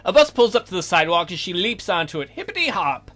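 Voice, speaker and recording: A man reads a visual novel aloud for a let's play with theatrical, joking delivery. His voice is high-pitched and bright, with wide swings, over soft background music. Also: very animated, fast. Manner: theatrical, jokey.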